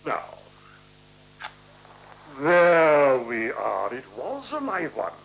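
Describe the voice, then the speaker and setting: An actor playing a chummy, fussily polite newcomer in a 1970s radio play, with a chatty, coaxing, courteous manner. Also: male voice, fairly high pitch, huge pitch swings, very slow, gravelly, fairly bright tone, heavily acted. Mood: cheerful, reassuring. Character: chummy, fussily polite male newcomer making himself at home. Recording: noisy, humming 1976 radio recording.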